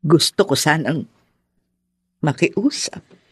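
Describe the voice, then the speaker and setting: A woman playing an anguished, possessive middle-aged mother in a radio drama, confessing in a halting, heavy way. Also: low voice, expressive, slightly rough, no room sound, studio quality, heavily acted. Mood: remorseful, anguished. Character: possessive, remorseful mother of grown children, anguished.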